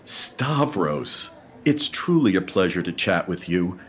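An actor playing a fawning male theater critic who interviews with gushing, insinuating flattery. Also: deep voice, slow pace, exaggerated voice.

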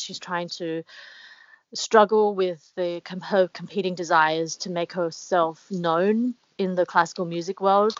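Reflective woman, low voice, dry sound. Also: no room sound, highs cut off. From Australia. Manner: reflective, many fillers and restarts. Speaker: author guest. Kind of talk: casual interview talk.

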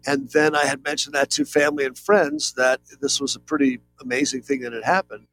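A man's voice: mid-range voice, little pitch movement, a little fast, fairly bright tone, soft background music, low-quality recording. A man in his late fifties, a painter giving an interview, talking in an earnest, rambling way with many fillers. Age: 50s. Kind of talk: reflective conversational interview.

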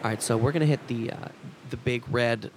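A man's voice: mid-range voice, fairly even intonation, fairly quick, noisy background. A man co-hosting a comedy podcast, playfully narrating an improvised scene with mock drama. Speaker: podcast co-host.

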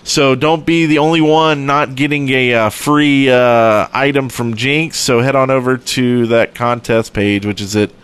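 A man's voice: medium pitch, fairly even intonation, measured pace, hardly any pauses, a bit bright, clean tone. A man co-hosting a podcast, chatting casually and reading out announcements. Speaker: podcast co-host.

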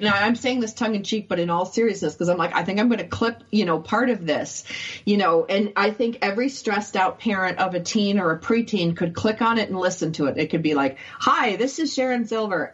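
Brief: woman host, mid pitch, chatty and eager, dull lo-fi sound. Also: breathy edge. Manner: chatty, enthusiastic, filler-heavy. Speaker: podcast host. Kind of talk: casual interview chat.

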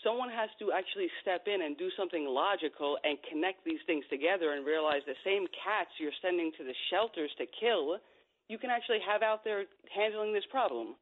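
Co-host on a tinny phone line. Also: female voice, fairly low pitch, a little fast. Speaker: radio show co-host. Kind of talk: conversational talk radio commentary.

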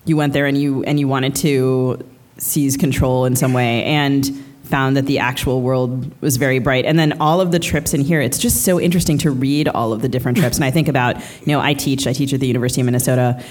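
A woman's voice: rumbling low, steady tone, a little fast, a little mellow. A woman speaks as a co-host at a live literary event. Her delivery is conversational, the kind used to question a guest on stage. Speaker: podcast co-host, writing teacher. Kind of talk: conversational interviewing. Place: live stage at a book fair.

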